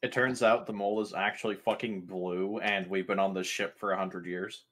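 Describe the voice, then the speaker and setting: Low, bright-voiced casual male gamer. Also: fairly even intonation, normal speed, a little hiss or hum. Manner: blunt, sweary. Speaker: player in a tabletop game. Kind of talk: casual joking table chat.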